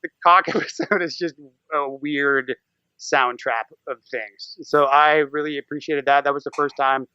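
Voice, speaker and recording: An American man talks casually as a podcast guest, irreverent and animated. His voice is bright and clear. The audio is close and dry, though a little dull. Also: on the high side, unhurried, no room sound. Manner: animated, irreverent, swears, acts out little quotes. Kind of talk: casual interview chat.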